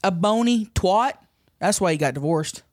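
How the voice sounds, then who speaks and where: A man's voice: sits high, expressive, moderate pace, fairly bright tone, no room sound, clean studio sound. A man playing a brash, crude new-money woman on a comedy dating show, talking in blunt, casual slang. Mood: cocky, crude. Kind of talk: improvised comedy sketch.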